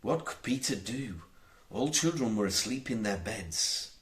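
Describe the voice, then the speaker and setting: A man reading a children's storybook aloud as an audiobook narrator, in a theatrical, expressive way. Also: on the low side, a little slow. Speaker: audiobook reader.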